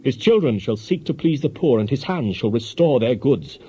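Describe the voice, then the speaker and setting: A man's voice: medium pitch, lively intonation, normal speed, mellow, slightly rough. Stern, declamatory ancient man, muffled. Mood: grave, condemning. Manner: solemn, declamatory. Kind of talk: dramatized scripture reading. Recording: dull lo-fi audio with faint noise.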